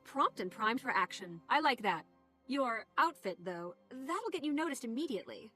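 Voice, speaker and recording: Small clever female creature, game role: brisk, dry, teasing, forward bright tone, highish pitch. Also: big pitch swings, husky, faint music behind, heavily acted. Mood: approving, wry. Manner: dry, sardonic. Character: confident, wry female Asura schemer, brisk and teasing. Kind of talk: video game voice acting.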